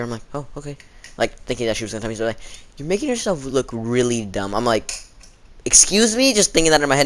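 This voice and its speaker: Halting teenage boy storyteller with sore throat. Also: lowish voice. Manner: halting, informal, slangy, dramatizing quotes. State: sore throat, frequent throat clearing. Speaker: video storyteller. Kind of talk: casual rambling storytime.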